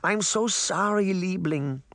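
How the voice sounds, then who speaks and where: A man voicing a kindly old grandmother in an audiobook, speaking gently with a light German accent. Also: high voice, slow pace, no echo, studio quality, pitched above the performer's own voice, exaggerated voice. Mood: gentle, sorrowful. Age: older. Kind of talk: audiobook character voice.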